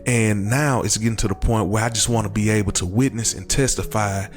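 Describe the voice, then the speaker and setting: Male American interview guest, lowish voice, earnest testimony with emphatic repetition, faint music behind. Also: fairly even intonation, fairly quick. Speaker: show guest, crash survivor.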